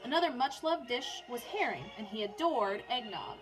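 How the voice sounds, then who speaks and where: A woman's voice: fairly high pitch, expressive, normal speed, music in the background, poor audio. A woman teacher reading a children's biography aloud for online learners, in storybook narration with informal, chatty asides.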